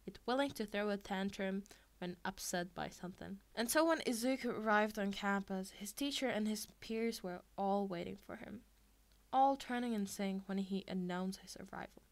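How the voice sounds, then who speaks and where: A woman reading fiction aloud in an expressive amateur audiobook style. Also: medium pitch, moderate pace. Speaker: podfic reader.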